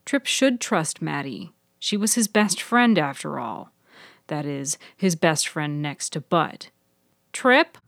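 A low-voiced woman narrates scripted fiction for a podcast. Her voice is recorded close and dry, with no room around it. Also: slow pace, gravelly, dry recording.

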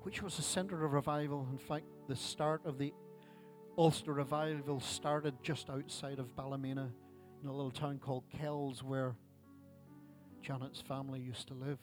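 A man's voice: medium pitch, level tone, normal speed, faint music behind. A man, preaching a sermon at a church service in a conversational way with self-deprecating asides. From Ireland. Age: 60s. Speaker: pastor.

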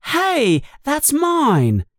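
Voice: high voice, wildly swooping pitch, very slow, no echo, clean studio sound, pitched above the performer's own voice, heavily acted. A narrator voicing an indignant, insistent young boy in an audiobook story.

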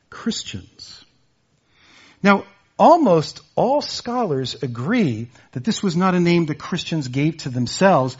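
Husky preacher man, lo-fi sound. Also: fairly high pitch. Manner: earnest, repeats words and phrases for emphasis. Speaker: pastor. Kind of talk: sermon.

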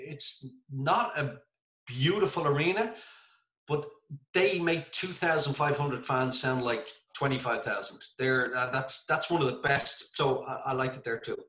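Bright-voiced Irish man telling stories. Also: medium pitch, unhurried, roomy sound, rough recording. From Ireland. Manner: animated storyteller, self-deprecating. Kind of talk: casual video-call interview. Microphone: video call.

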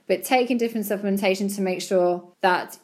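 A woman giving chatty, encouraging advice about bodybuilding show prep, her voice slightly croaky. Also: medium pitch, fairly quick, a little mellow. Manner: chatty, encouraging, repeats words for emphasis. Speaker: bodybuilding competitor and podcast host. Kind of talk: casual advice monologue.

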